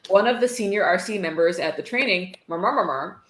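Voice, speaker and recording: A woman's voice: medium pitch, fast, fairly bright tone. A woman with a dry, sarcastic manner, reading a book aloud and adding casual commentary. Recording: roomy echo, faint noise.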